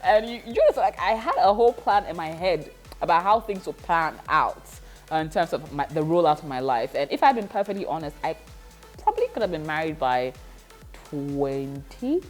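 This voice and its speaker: A woman's voice: sits low, sing-song, normal speed, faint music behind. A woman of thirty talking reflectively in a casual vlog, with frequent laughter and fillers. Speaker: vlogger.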